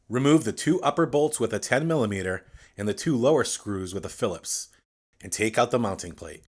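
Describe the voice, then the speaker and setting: A man with a fairly low voice narrates a scripted installation tutorial. He speaks straight to the viewer in a clear, instructional way, going through things step by step. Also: fairly bright tone.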